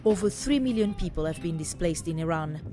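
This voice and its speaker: A woman's voice: on the low side, lively intonation, fairly quick. A woman reporter reading scripted narration for a news report. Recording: music bed behind voice. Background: music.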